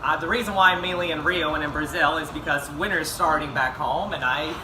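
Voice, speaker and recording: A man's voice: a little high, brisk. A young man with an American accent, chatting casually as an interview guest. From Texas, United States. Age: young adult. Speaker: interview guest, medical student. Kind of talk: casual chat. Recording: echoey, distant mic, background noise.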